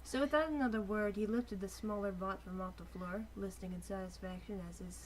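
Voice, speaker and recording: A woman with a mid-pitched voice, moving between dramatic storybook-style reading and casual joking asides. The recording is clearly noisy, with small clicks. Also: steady tone, brisk. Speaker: reader of fan fiction.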